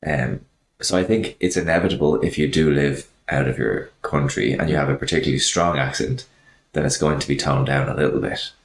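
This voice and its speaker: A man's voice: extremely low pitch, brisk, gravelly, roomy sound. An Irish man co-hosting a podcast, telling a story in casual chat. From Ireland. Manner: chatty anecdotal storytelling. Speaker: podcast co-host.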